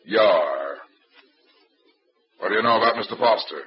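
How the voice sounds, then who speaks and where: A man's voice: on the low side, lively intonation, measured pace. An actor playing a hard-boiled private detective with a wry, clipped tough-guy delivery, in 1940s radio acting. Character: wisecracking hard-boiled male private eye. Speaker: narrator and lead detective. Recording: dull old radio recording.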